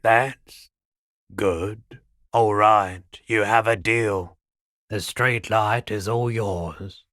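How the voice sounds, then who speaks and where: An actor playing a laid-back, eccentric male pawnshop keeper in a video game, deadpan and unhurried, with drawn-out phrasing. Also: lowish voice, dry recording, studio quality. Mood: businesslike, easygoing. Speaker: shopkeeper character. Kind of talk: video game character dialogue.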